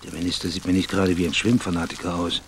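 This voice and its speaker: A man's voice: low-pitched, flat delivery, fast, slight background noise, lightly acted. An actor playing a dry, sardonic male private detective in a radio crime drama, speaking laconically and wryly. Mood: dry, inquiring. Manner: laconic, wry, probing questions.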